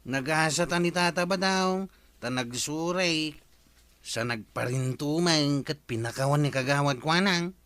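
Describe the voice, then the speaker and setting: A man's voice: a little high, unhurried, a bit bright, clear, resonant tone, no room sound. An actor playing a gossipy, urging male neighbor with an Ilocano accent.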